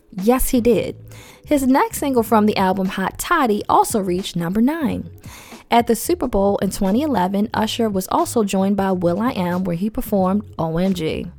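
A woman's voice: medium pitch, dry recording. A woman hosting a casual podcast, stuffy and losing her voice from a head cold, reading facts with chatty, slangy asides. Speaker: podcast host.

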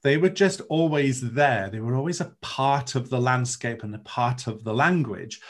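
A man speaks in a reflective, lyrical way. His voice sits at an ordinary middle pitch for a man. His sentences are earnest and searching, and he often restarts them. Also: normal speed. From Wales. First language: Welsh. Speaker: interviewee, Druid. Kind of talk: reflective interview talk.

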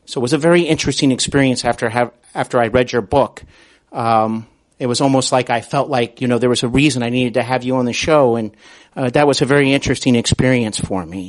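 A man with a mid-pitched voice hosts an interview podcast. He talks in an earnest, halting manner. The sound is close and dry, with little room in it. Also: no echo. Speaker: podcast host. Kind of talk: interview with spoken introduction.

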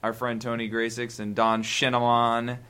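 Halting, rambling man. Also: medium pitch, monotone, sharp tone, clean tone. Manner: halting, rambling, many restarts. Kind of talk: casual podcast chat.

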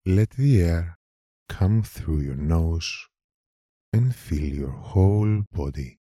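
A man leading a guided meditation in a calm, measured manner, leaving long pauses between short phrases. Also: sits low, no room sound, clean studio sound. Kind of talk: slow guided meditation narration.

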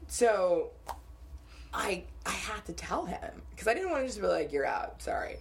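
A young woman co-hosting a podcast, telling dating stories in a casual, animated way and laughing often. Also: mid-range voice, normal speed, gravelly, slight background noise. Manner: animated storytelling with frequent laughter. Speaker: podcast co-host. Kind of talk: casual chat.

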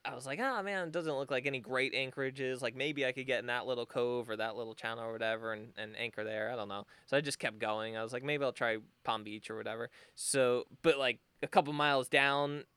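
A young man at a middle pitch, his voice smooth and resonant, recorded dry and close. He tells his story in a loose, easygoing way, full of "like" and small laughs. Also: a little fast, few pauses, fairly bright tone, dry recording. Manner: laid-back, rambling with fillers and chuckles. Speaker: guest sailor. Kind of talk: casual storytelling.

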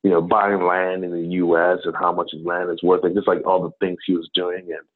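A man's voice: deep voice, little pitch movement, brisk. A man in his thirties from Ghana, a business consultant talking casually as a guest, rambling with many fillers and restarts. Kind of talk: casual interview talk. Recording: tinny phone-like line.

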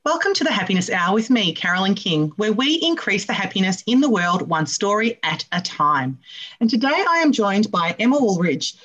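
A woman's voice: medium pitch, sing-song, normal speed, fairly bright tone. A woman hosting a radio interview show, introducing her guest with upbeat enthusiasm.

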